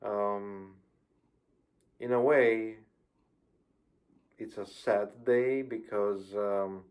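A man's voice: lowish voice, fairly even intonation, very slow. A middle-aged man with a Romanian accent preaching a sermon over a video call from a plain room, careful and hesitant with frequent ums. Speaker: pastor. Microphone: webcam on video call.

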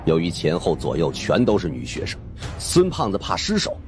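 A male audiobook narrator with a deep voice tells a suspense tale in the first person. His delivery is dramatic and extremely varied in pitch, over a clear music bed. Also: normal speed. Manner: animated, dramatic storytelling.

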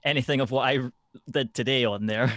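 A man with a medium-pitched voice talks casually on a stream, feeling out his sentences in a halting, tentative way. The recording is close and very dry. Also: fairly bright tone, dry recording. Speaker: stream co-storyteller and tabletop player. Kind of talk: casual livestream chat.

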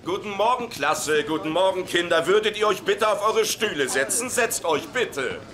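A man's voice: high voice, expressive, moderate pace. A man acting in a German sitcom dub as an eager, insecure husband turned substitute teacher, hesitant and awkwardly chummy. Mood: nervous, eager.

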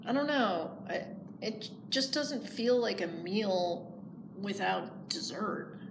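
A woman's voice: mid-range voice, lively intonation, slow pace, creaky, roomy sound, noisy background. A woman voicing a needy, hungry storybook bear in a plaintive, hesitant manner. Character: needy, hungry bear who wants a friend.

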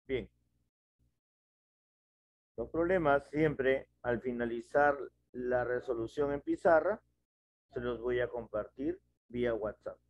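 A man's voice: medium pitch, takes it slowly, pauses often. A middle-aged male math teacher, patiently walking students through a problem at a whiteboard in a small home room, wearing a headset. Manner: patient, explains step by step with questions. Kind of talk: online class at a whiteboard. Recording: dull lo-fi video call audio.

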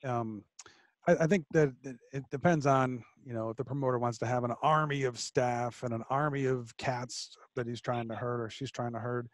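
A man's voice: medium pitch, fairly even intonation, normal speed, no room sound. Man on a headset mic, dry sound. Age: 40s. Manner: thinks aloud with fillers and repeated starts. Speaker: podcast host. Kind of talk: conversational interview. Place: home studio with a flag behind, indoors.

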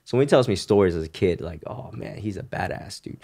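Podcast guest, man in his 30s from Hong Kong, very low voice, relaxed storytelling. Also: fast talker, creaky, husky. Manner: relaxed, loose phrasing with frequent "you know" and "right?". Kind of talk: casual conversational storytelling.

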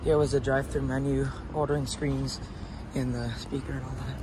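Teen male vlogger, hurried and chatty, phone mic outside, heavy traffic noise. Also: medium pitch, little pitch movement. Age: teens. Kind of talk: casual walk-around vlog narration. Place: outdoors around an empty fast-food building by a busy road. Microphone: phone camera held at arm's length. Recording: loud traffic noise outdoors.

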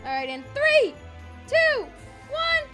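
Bossy, silly daycare kid voiced by a woman, squeaky and extremely high, swooping delivery, game roleplay over music. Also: big pitch swings, slow pace, heavily acted. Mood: excited, relieved. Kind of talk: improvised Minecraft roleplay.

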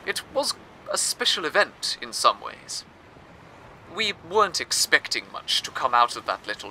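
A man hosting a radio show, telling a story in scripted, wordy, measured narration. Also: medium pitch, sharp tone, slight background noise, poor audio. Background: music.

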